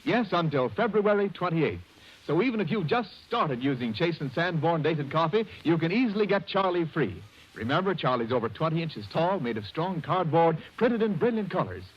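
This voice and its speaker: A man's voice: fairly high pitch, very animated, normal speed, breathy edge. A man announcing a 1930s radio advertisement in an emphatic, salesmanlike way. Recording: dull vintage radio recording.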